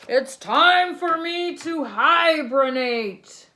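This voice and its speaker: A woman voicing a grumpy, sleepy male bear in a children's storybook, drawn-out and sighing. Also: sits high, lively intonation, bright, forceful tone, voice pushed up, heavily acted. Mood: weary, grumpy.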